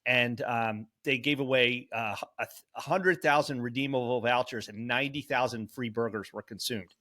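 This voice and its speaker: A man's voice: mid-range voice, normal speed, sharp tone. A man co-hosting a podcast, telling stories in a rambling casual way with many fillers and self-corrections. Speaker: podcast co-host. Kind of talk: casual chat.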